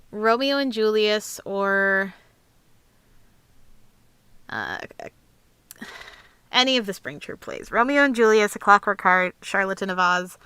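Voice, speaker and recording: A young woman host with a clear, smooth voice at a medium pitch. She chats casually and rambles through a livestream Q&A, recorded close and dry. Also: pushed and bright, dry recording. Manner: chatty, rambling. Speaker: host, amateur voice actor.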